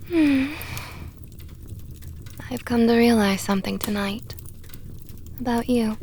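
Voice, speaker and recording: A woman voicing a possessive, flirtatious female vampire knight in an ASMR roleplay, talking softly and often in a whisper with a teasing tone. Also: mid-range voice, vocal fry. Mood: probing intimate.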